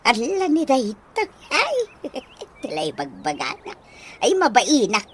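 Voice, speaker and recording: A woman's voice: on the high side, wildly swooping pitch, a little slow, a little hiss or hum. A woman acting a bashful middle-aged widow with an Ilocano accent in a comedy radio drama, coyly protesting. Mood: bashful, flustered. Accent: Filipino Ilocano. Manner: coy, protesting.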